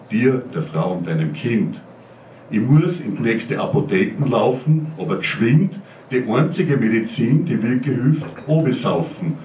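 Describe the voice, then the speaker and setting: Deep-voiced man reciting rhymes. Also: a little hiss or hum. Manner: sing-song rhyming delivery. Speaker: slam poet. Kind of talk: rhymed dialect poem recital. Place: small pub stage. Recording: roomy distant lo-fi camcorder sound.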